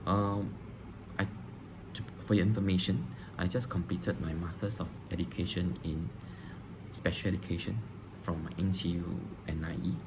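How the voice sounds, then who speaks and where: A man, a teacher answering interview questions on video, pausing often with ums and restarting phrases. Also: low voice, steady tone. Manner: hesitant, frequent ums and restarts. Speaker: teacher applying for a job. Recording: noisy background hiss.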